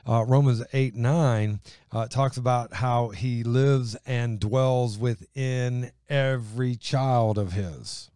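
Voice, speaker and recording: A middle-aged man with a booming voice, chatting enthusiastically about faith and reading Bible passages aloud. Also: takes it slowly, mellow, smooth, no echo, studio quality. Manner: booming, enthusiastic, acts out quotes.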